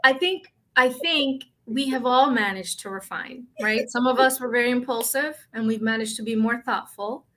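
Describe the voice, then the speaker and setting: A woman's voice: a little high, moderate pace, few pauses, sharp tone. A middle-aged woman from the Dominican Republic, a guest in a casual interview, emotional and laughing often.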